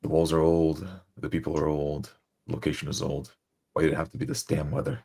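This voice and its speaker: A world-weary, wounded adventurer, acted by a man in a fantasy tabletop game. His voice is extremely deep, and he speaks in a formal, deliberate, grumbling way. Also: steady tone, gravelly, dark tone, full character voice.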